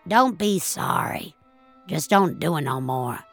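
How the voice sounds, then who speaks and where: A woman's voice: sits low, animated, unhurried, vocal fry, soft background music, full character voice. A woman voicing a blunt, gloomy old country grandmother in an audio story. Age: older. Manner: folksy, blunt, fatalistic musing.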